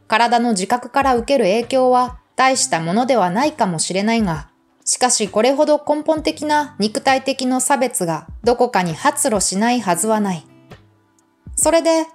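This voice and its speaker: A woman with a fairly high voice reads literary prose aloud like an audiobook narrator. Her delivery is measured and articulate, and music plays behind her. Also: a little mellow. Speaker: audiobook reader. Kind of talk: scripted literary reading. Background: background music.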